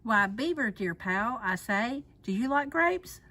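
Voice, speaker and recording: A woman plays a scheming, smooth-talking fox from a storybook. He is smug and pompous, talking down to others in slow, theatrical phrases pitched on the high side. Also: expressive, bright, forceful tone, heavily acted. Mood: coaxing.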